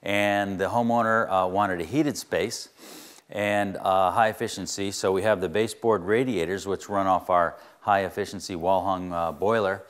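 A middle-aged man with a low, deep voice guides a listener through an explanatory on-site tour as a home energy contractor. He sounds earnest and plain-spoken, dropping frequent "uh" fillers as he goes. He is recorded indoors in a wood-walled barn on a clip-on mic. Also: steady tone, normal speed.

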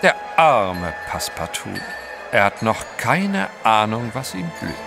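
An actor playing a debonair English gentleman adventurer, urbane, formal and dryly witty, in a German audio drama. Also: fairly low pitch, very animated, unhurried, gravelly, music in the background, full character voice. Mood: suave, wry.

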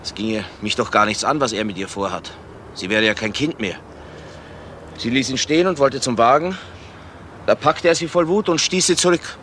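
An actor playing a wary, protective middle-aged father, guarded and deliberate, in a German crime radio play. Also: medium pitch, fairly bright tone, close to an everyday voice. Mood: indignant. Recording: faintly noisy radio drama recording.

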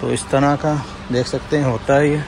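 Gravelly man vlogging through a store. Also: mid-range voice, little pitch movement, moderate pace, background noise. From India. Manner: conversational, keeps saying "friends" to viewers. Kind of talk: walking tour vlog. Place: large indoor supermarket.

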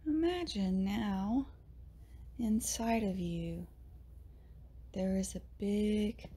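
A woman leading a guided meditation in a soft, breathy, often near-whispered voice, gently soothing, with long pauses between short phrases. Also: mid-range voice. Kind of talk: guided meditation, slow and hushed.

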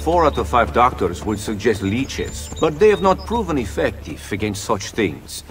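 An actor playing a matter-of-fact Renaissance doctor in a video game cutscene. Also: male voice, medium pitch, big pitch swings, normal speed, faint music behind, natural voice. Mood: clinical.

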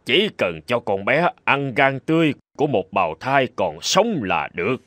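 Grave old physician. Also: male voice, medium pitch, very animated, normal speed, a bit bright, no echo, studio quality. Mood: grave, insistent. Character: grave old male physician, cold and self-assured. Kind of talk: cartoon dubbing.

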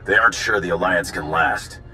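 A man's voice: sits low, moderate pace, sharp tone, soft background music. A man playing an earnest, worried military officer in a video game, urgent and businesslike.

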